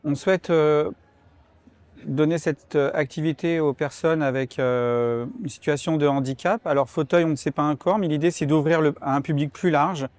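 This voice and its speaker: A man's voice: fairly high pitch, steady tone, moderate pace, a little mellow, dry recording. A man representing a sports club in an unscripted interview, hesitant and searching for his words.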